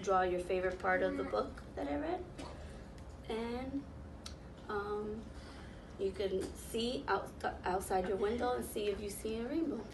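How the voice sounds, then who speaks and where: A woman in her thirties reading a picture book aloud to young children, pausing to explain and ask them questions. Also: medium pitch. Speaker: volunteer children's story reader. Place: small classroom, indoors, seated on floor. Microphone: camera a few metres away. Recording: roomy, distant mic, noticeable background noise.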